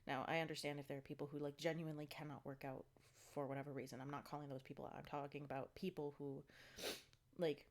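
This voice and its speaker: Low-voiced woman, stuffy and breathless. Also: flat delivery, quick pace. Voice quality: congested, stuffy nose. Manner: chatty, rambling, many ums and likes. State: slightly out of breath. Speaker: fitness vlogger. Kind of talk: casual video diary.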